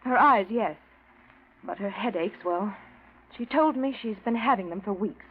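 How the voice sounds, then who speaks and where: An earnest American female voice of medium pitch an actress plays an anxious, self-sacrificing young fiancée. She pleads gently in old radio drama style, through a thin, phone-like vintage recording. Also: normal speed, a little hiss or hum, close to an everyday voice. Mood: worried, earnest. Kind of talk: 1940s radio drama acting.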